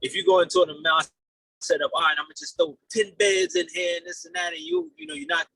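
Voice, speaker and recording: A man's voice: very high voice, huge pitch swings, fast, creaky, bright, forceful tone, dry recording, low-quality recording. A man in his late twenties giving casual, earnest interview answers, rambling and repeating his phrases as he goes. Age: late 20s. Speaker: podcast guest, real estate investor.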